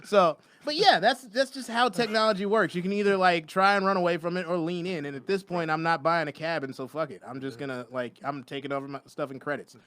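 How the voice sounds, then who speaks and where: A man's voice: fairly high pitch, quick pace, hardly any pauses, a bit bright, dry recording. A young adult man hosting a comedy podcast, laid-back, profane and joking in casual chat. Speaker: podcast host.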